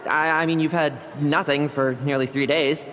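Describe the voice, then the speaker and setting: A man's voice: on the high side, level tone, normal speed, a bit bright, a little hiss or hum, close to an everyday voice. A man voicing a playful, cocky young knight with a slightly menacing, theatrical lilt in a video game. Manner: teasing, lilting, theatrical.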